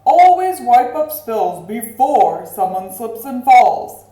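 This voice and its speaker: A woman's voice: fairly high pitch, sing-song, slow, dark tone. A woman voicing an earnest male police officer in a storybook, giving firm, instructive announcements. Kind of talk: storybook character voice in read-aloud. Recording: roomy, echoing sound.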